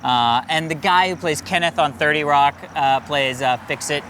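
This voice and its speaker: A man's voice: on the high side, fairly even intonation, normal speed. An American man co-hosting a casual movie review, talking in an emphatic, enthusiastic, rambling way, with outdoor street noise behind him. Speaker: film review show co-host.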